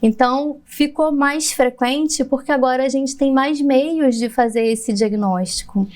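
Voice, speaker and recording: A woman's voice: sits high, measured pace, a little mellow. A woman, a pediatric dentist explaining her work in a podcast interview, patiently and with frequent laughter.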